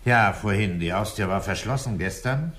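An actor playing a terse, unflappable police inspector in a 1960s radio crime drama, dry and probing. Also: male voice, on the low side, expressive, normal speed, a bit bright. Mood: calm, probing.

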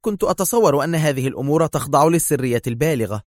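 A man's voice: on the high side, lively intonation, racing, no room sound, studio quality, voice pushed up, natural voice. A man voicing an anxious, agitated woman in a dramatised story, tense and fretful. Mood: tense surprise. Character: anxious, agitated woman fearing for her life.

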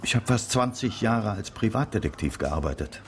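An actor playing a courteous, observant former private detective in a radio drama, with a gravelly voice and a polite, probing manner. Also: male voice, on the low side, expressive, moderate pace, background noise, natural voice. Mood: curious, polite.

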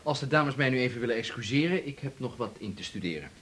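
A man's voice: medium pitch, expressive, quick pace, a bit bright, husky. An actor playing a cheeky, jokey man with a teasing, mock-formal way of talking, in a naturalistic radio drama. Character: cheeky, jokey male partner, a musician.

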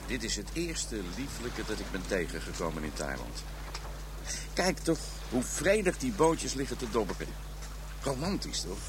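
A man's voice: mid-range voice, very animated, moderate pace, background noise, natural voice. An actor playing a talkative Amsterdam police detective abroad in a radio drama, a man with a blunt, colloquial, wry manner. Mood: amazed.

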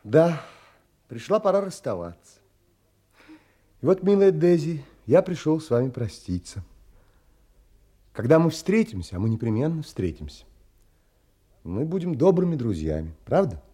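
An earnest, brooding romantic adventurer in an audio drama. His husky, mellow voice takes its time, pausing dramatically as he narrates and speaks. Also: a little high, very animated. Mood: tender, concerned. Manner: dramatic, measured, with pauses. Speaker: actor and narrator.

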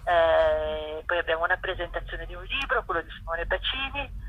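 A woman's voice: on the low side, normal speed. A woman speaking as an interview guest, explaining an event programme informally and hesitantly, searching for words as she goes. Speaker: festival curator, interview guest. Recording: dull lo-fi telephone-like line.

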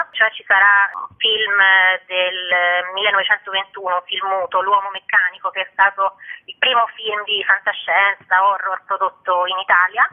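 Woman interviewed over a tinny phone line. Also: mid-range voice. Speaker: festival organiser, interview guest. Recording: tinny, narrow telephone line.

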